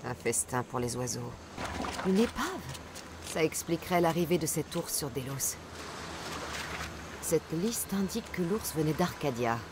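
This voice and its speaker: A woman's voice: low voice, animated, a little slow, pauses often, background noise. An actress playing a tough, wry female mercenary hunter in a French game dub, dry and blunt. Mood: musing. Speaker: video game voice actor.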